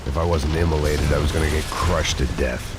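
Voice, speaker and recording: A man playing a bitter, burnt-out middle-aged bodyguard and ex-cop in a video game, narrating in a deadpan, sardonic noir style. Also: very deep voice, fairly quick, heavy background noise. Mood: grim, sardonic. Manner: world-weary, deadpan, wry. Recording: loud music over the voice.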